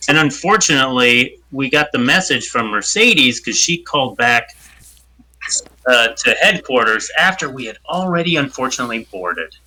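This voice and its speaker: A man's voice: mid-range voice, expressive, moderate pace, sharp tone, low-quality recording. A man playing a tabletop role-playing game, rambling as he explains what his character does. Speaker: player.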